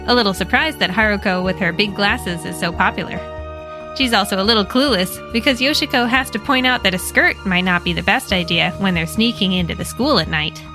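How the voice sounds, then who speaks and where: An American woman hosting a story podcast, retelling a horror manga in a lively, expressive and wry way. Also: a little high. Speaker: podcast host and narrator.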